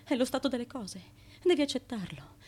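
An actress playing a fearful, haunted wife of an ancient Greek tyrant, intense and repetitive, in a theatrical radio drama. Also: female voice, high voice, expressive, a little fast, a little mellow. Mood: stern, scornful.

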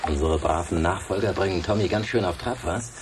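A man's voice: low-pitched, fairly quick, far from the mic, a little hiss or hum, lightly acted. A man acting a cool, decisive spaceship pilot leading an escape in a German radio play. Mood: cool, alert.

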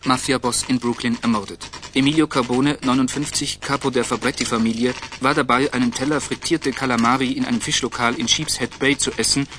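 An actor playing a brisk news wire reporter in a radio drama, reading a bulletin in an impersonal, matter-of-fact way. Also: male voice, medium pitch, fairly even intonation, a bit bright, close to an everyday voice.

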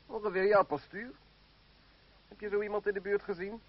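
Bullying sergeant, played by a man, high male voice, curt and commanding; muffled, noisy old radio drama recording. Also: steady tone, a little fast, close to an everyday voice. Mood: brusque. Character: gruff, bullying French army sergeant, greedy. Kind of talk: radio play acting.

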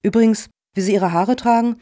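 An actress as a conciliatory woman steering a panel in a radio satire. She is polite and flattering, with a mellow, medium-pitched voice in a very dry studio sound. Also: brisk, no echo, lightly acted. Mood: soothing, flattering. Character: conciliatory, flattering female foundation panel member. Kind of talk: satirical radio play dialogue.

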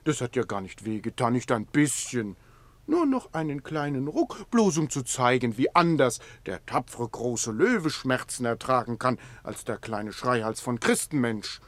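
A man's voice: mid-range voice, sing-song, measured pace, dry recording. An actor in a radio play playing a meek, tender-hearted man who coos silly rhyming baby talk to a lame lion. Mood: soothing, coaxing. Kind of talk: theatrical radio play acting.

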